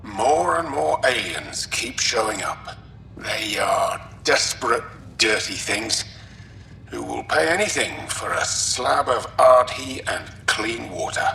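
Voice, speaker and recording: A man's voice: medium pitch, lively intonation, slow pace, vocal fry, pushed and bright, exaggerated voice. A man playing a wary, scornful alien settler recording an audio log in a video game. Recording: roomy, distant log playback, faint noise.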